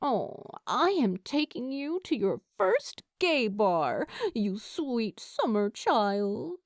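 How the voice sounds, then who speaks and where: Woman narrator as a bossy, playful younger gal pal, animated and emphatic, audiobook dialogue. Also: on the high side, slow, no echo, studio quality, exaggerated voice. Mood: teasing, excited. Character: bold, bossy, teasing younger woman friend.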